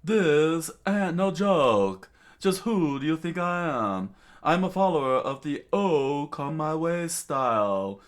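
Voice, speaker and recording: A man's voice: fairly high pitch, very animated, slow, pitched above the performer's own voice. A man voicing a flamboyant, menacing male villain, boastful and demanding in his manner.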